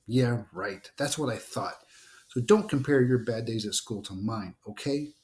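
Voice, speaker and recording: A man's voice: mid-range voice, measured pace, darkish tone, natural voice. A man reading the part of a young boy in a storybook, a stubborn, sulky little brother who pushes back. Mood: challenging. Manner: stubborn, challenging. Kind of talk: storybook read-aloud dialogue.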